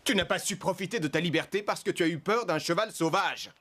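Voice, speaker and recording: High-voiced cocky young horse. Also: male voice, quick pace. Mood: teasing. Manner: cocky, blunt. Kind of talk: French dubbed children's cartoon acting. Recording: loud noise behind the voice.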